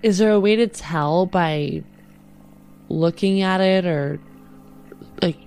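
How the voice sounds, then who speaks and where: A woman's voice: on the low side, slow, darkish tone, smooth. A woman playing a tabletop roleplaying game, casual and hesitant as she thinks aloud.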